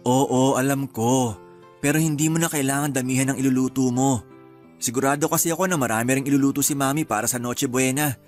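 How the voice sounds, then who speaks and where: A man's voice: medium pitch, steady tone, brisk, faint music behind, lightly acted. A man with a Filipino accent playing an agreeable, affectionate young husband in a radio-style drama. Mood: easygoing.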